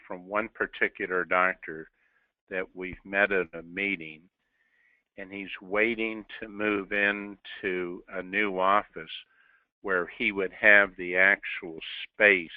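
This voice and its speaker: Slow, measured man on the phone. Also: deep voice, level tone, dry recording. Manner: measured, pausing between short phrases. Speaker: company executive answering investor questions. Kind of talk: business question-and-answer on a conference call. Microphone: telephone on a conference call. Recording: narrow dry telephone line.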